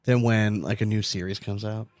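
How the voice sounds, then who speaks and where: A man's voice: fairly low pitch, steady tone, normal speed, darkish tone, no room sound, clean studio sound. A man co-hosting a podcast in casual sports banter, with slangy, profane, bro-ish talk. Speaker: podcast host.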